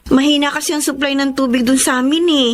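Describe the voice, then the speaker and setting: Actress as high-voiced young Filipino saleslady. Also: steady tone, fast, natural voice. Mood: flustered, apologetic. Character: young saleslady, earnest, proud but apologetic, overworked. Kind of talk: Filipino radio drama acting and first-person narration. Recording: music under the voice.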